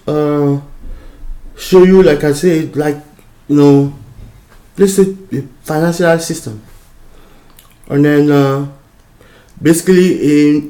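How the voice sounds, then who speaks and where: A man's voice: on the high side, warm tone, echoey room. A man from Cameroon giving an informal lecture, explaining a diagram with many fillers and restarts.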